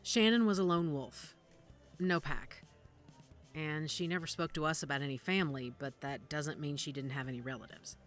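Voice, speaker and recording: A woman acting in an audio drama as a guarded, worried werewolf investigator who speaks gravely and deliberately. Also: sits low, close to an everyday voice. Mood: somber. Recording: music under the dialogue.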